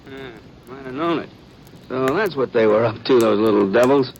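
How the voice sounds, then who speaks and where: A man's voice: medium pitch, moderate pace, darkish tone, lightly acted. An actor playing a chatty, folksy small-town lawman in an old-time radio western, with an American accent and a musing way of talking. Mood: wry, explaining. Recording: muffled, noisy vintage radio recording.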